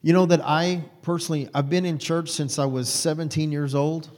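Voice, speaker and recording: A man's voice: fairly high pitch, fairly even intonation, a little fast, darkish tone. A man in his forties giving an informal, rambling sermon to a small group, often stopping to correct himself. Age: 40s. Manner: rambling, self-correcting, many fillers. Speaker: lay preacher. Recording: long hall reverb.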